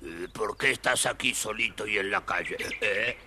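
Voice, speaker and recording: An actor playing an indignant yet hopeful old donkey in a children's audio story, punctuating speech with hee-haws. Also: male voice, on the low side, normal speed, sharp tone. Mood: excited. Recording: noisy, crackly old story record.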